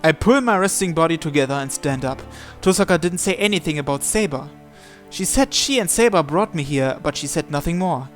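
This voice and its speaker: A man's voice: fairly high pitch, lively intonation, a little fast, fairly bright tone, faint music behind. A man hosting a visual novel playthrough, reading the first-person narration aloud.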